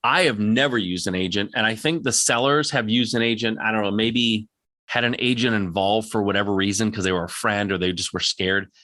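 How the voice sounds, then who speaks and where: Forceful, emphatic man coaching. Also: on the low side, fairly even intonation, a little fast, dry recording. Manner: emphatic, insistent, repeats points; direct, plain-spoken. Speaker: podcast host answering audience questions. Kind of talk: live Q&A coaching.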